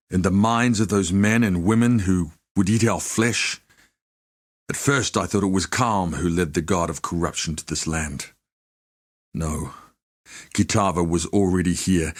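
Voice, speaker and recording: A low-voiced, proud warrior of a tribe for a video game. He is solemn and devout, bound by honour. Every phrase is delivered gravely and deliberately, like formal oratory. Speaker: video game character voice actor. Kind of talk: scripted dramatic game dialogue.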